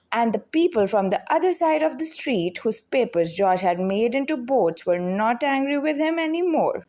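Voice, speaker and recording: A woman storyteller reading a children's picture book aloud in an expressive, deliberate way. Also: fairly high pitch.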